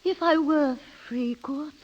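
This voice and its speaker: A high-pitched married woman from a vintage 1930s radio play. She is restless, romantic and defiant. Her delivery is theatrical and full of feeling. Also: takes it slowly, muffled recording. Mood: tender, wistful.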